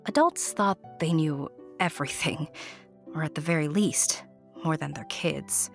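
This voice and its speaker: Woman, low pitch, scripted fairy-tale narration, playful, often hushed, faint music behind. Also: unhurried, creaky. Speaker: storyteller, narrator. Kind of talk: scripted storybook narration addressed to the listener.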